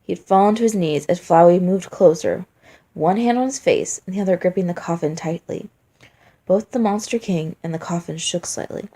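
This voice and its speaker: A woman's voice: lowish voice, normal speed, warm tone. A woman narrating a dramatic story from a script as a fan fiction reader.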